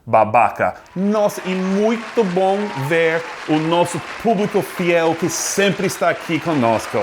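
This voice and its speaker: American man hosting with lively pitch. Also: slow pace, few pauses, crowd noise, background noise. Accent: American English. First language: English. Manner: mock-enthusiastic, exaggerated surprise. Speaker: talk show host. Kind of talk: mock talk show comedy.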